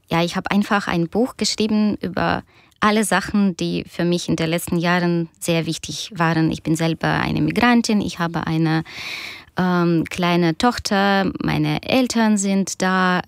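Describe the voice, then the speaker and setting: A woman in her thirties, a doctor and author whose first language is Russian, answering questions in a radio interview with many pauses and fillers. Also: fairly low pitch, vocal fry, clean tone, no room sound, studio quality. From Ukraine.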